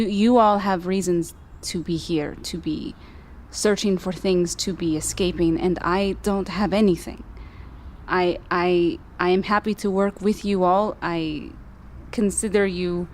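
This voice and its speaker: A woman roleplaying an earnest, vulnerable amnesiac woman with a halting, pleading way of talking. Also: on the low side, a little mellow, a little hiss or hum.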